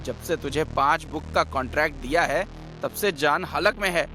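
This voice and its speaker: Man voicing book publisher, wry, bantering, businesslike, high-pitched for a man, conversational cartoon acting. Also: normal speed, pushed and bright, faint music behind. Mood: exasperated. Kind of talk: conversational animated story acting.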